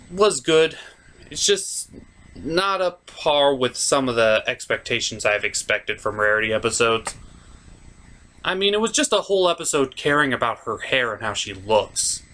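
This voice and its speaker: A man's voice: mid-range voice, bright, forceful tone. A man hosting a cartoon review, delivering scripted comedic narration in a wry, self-deprecating way.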